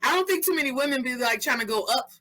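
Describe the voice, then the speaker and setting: A woman's voice: high-pitched, expressive, fast, bright, forceful tone. A woman in her thirties hosting a podcast interview, talking animatedly and mixing Spanish phrases into her English. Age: 30s. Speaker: podcast host. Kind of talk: casual interview chat.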